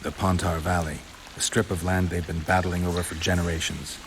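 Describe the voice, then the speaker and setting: Male actor as world-weary monster hunter, very low voice, terse, dry, video game cutscene, clear rain noise behind. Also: level tone, brisk, natural voice. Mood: dry, matter-of-fact.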